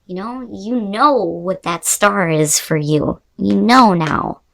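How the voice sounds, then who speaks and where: A woman's voice: mid-range voice, slow, vocal fry, fairly bright tone. A woman host giving an unscripted tarot reading to viewers, talking in a conversational, emphatic way with the odd mild swear word.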